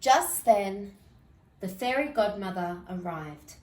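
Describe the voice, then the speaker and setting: Female storybook reader, medium pitch, unhurried expressive read-aloud, roomy recording. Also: recorded from a distance.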